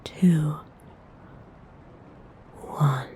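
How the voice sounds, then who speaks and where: A woman playing a tender, possessive vampire noble in a whispered ASMR roleplay, speaking in a breathy, soothing, intimate way. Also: low voice, warm tone, slight background noise. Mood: calm, coaxing. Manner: soothing, slow, intimate coaxing.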